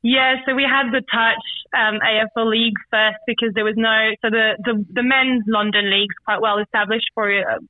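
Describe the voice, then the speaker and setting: A woman's voice: a little high, fairly even intonation, normal speed. Young woman on a phone line. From Paris, France. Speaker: amateur footballer being interviewed. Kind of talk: casual phone interview. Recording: tinny telephone line.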